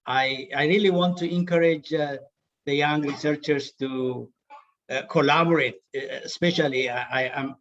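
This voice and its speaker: A man from Ethiopia with an Ethiopian accent giving an earnest, somewhat halting spontaneous comment in an online session. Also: mid-range voice. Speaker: audience participant, water resource engineer. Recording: distant, dull video call audio.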